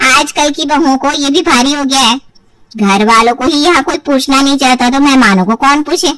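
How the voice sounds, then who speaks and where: A woman's voice: sits high, moderate pace, a bit bright, no echo, recorded from a distance. A woman voicing a spiteful, whining married sister-in-law in a cartoon moral story, sarcastic and theatrical. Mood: sneering complaint.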